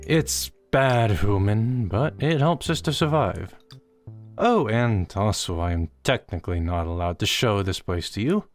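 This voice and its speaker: A small digital creature, voiced by a man with a slightly raised, earnest voice that coaxes gently and rises and falls freely. Soft music runs underneath. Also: fairly low pitch, lively intonation, measured pace.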